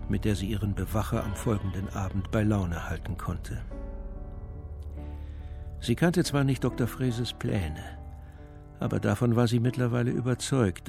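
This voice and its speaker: An actor as the narrator of a crime radio play, telling the story in a composed, measured way. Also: male voice, on the low side, gravelly. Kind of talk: scripted narration of a crime drama.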